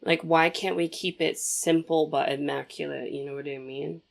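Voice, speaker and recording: A woman's voice: low voice, fairly even intonation, moderate pace, vocal fry. A young woman hosting a casual movie commentary, chatty and opinionated, talking straight to viewers while sniffly from a cold.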